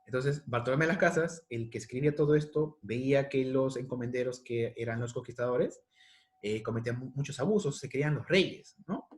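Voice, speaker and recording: A man's voice: mid-range voice, a little fast. A man giving a casual history lesson to secondary students, thinking aloud and rephrasing, often checking in with "¿de acuerdo?". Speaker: history teacher.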